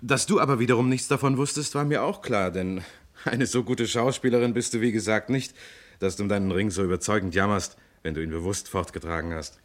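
An actor playing a self-assured police detective in an old German radio crime play, explaining things matter-of-factly. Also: male voice, lowish voice, brisk, lightly acted. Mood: explaining, composed.

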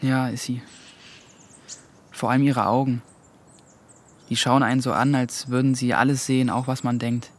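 A man's voice: mid-range voice, little pitch movement, normal speed, long pauses, natural voice. A young male actor playing a teasing, evasive teenage boy in a radio drama, casual and youthful in his talk. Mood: teasing, dreamy.

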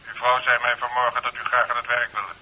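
An actor playing a commanding police commissioner over the telephone in a 1970s radio drama. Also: male voice, mid-range voice, completely flat delivery, fast talker, sharp tone, slightly rough, dull audio. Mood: brisk, commanding. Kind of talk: 1970s Dutch radio play acting. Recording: thin telephone sound on old radio tape.

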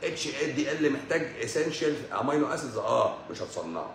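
A man's voice: mid-range voice, sing-song, fast talker, creaky, roomy sound. A man with an Egyptian Arabic accent giving a health lecture on a livestream, stressing and repeating his points and mixing in English medical terms. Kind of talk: livestream lecture with viewer questions. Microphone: livestream microphone.

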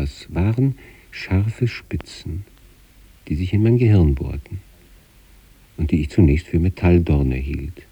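Introspective patient, very low pitch, dark velvety tone, measured literary radio narration, deliberate phrasing. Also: male voice, breathy edge, no room sound, dull audio, full character voice. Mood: wondering, uneasy. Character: introspective sanatorium patient recounting eerie out-of-body experiences.

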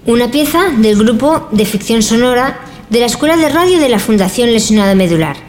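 A woman announcing a radio drama, presenting in a clear, measured way. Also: on the high side, expressive, a little hiss or hum. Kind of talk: scripted program announcement.

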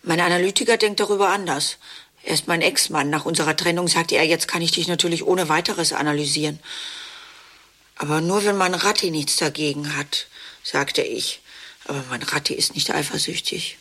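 A woman's voice: low-pitched, normal speed, bright, forceful tone. An actress in a radio play, playing a chic, ironic woman married to a dentist, speaking in a wry, drawling way. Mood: dry. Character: chic ironic wife of a dentist, half-sister. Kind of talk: radio play monologue and dialogue.

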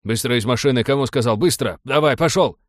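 A man's voice: medium pitch, normal speed, no room sound, studio quality, close to an everyday voice. A man voicing an aggressive police commando who barks orders in a commanding manner, in an audiobook story. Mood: shouting, urgent. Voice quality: muffled with a commanding rasp. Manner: barking, commanding.

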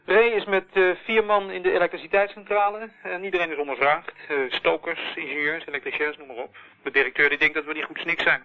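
An actor playing a down-to-earth police sergeant in a Dutch radio play, clipped and colloquial. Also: male voice, fairly high pitch, steady tone, fast, close to an everyday voice. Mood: matter-of-fact. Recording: dull lo-fi old radio recording.

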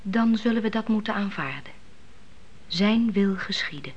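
An actress playing a wise, devout young wife in a biblical radio play, thoughtful, earnest and reassuring. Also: female voice, mid-range voice, lively intonation, unhurried, muffled recording, slight background noise, close to an everyday voice. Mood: calm, devout. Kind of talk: Dutch radio play acting.

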